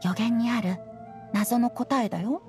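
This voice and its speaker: A woman's voice: on the high side, a little slow, lightly acted. A woman voicing a carefree, sly young boy bard in an anime game, light, breezy and teasing. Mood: knowing, breezy. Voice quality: light, boyish. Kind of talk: anime game character acting. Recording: game music behind the voice.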